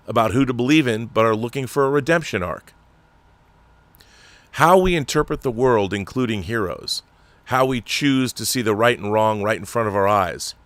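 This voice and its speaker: Male commentator, deep-leaning voice, very dry close sound, delivering a written essay with emphatic conviction. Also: fairly bright tone, no echo. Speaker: commentary show host. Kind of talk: reading an opinion essay aloud.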